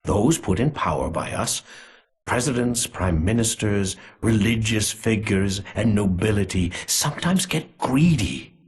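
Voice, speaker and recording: Husky, sinister conspirator villain. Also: male voice, on the low side, animated, heavily acted. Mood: smug menace. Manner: relishing, theatrical, deliberate. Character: sinister, gleefully cruel conspirator man, smugly menacing. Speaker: villain character in a video game. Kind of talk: scripted villain monologue.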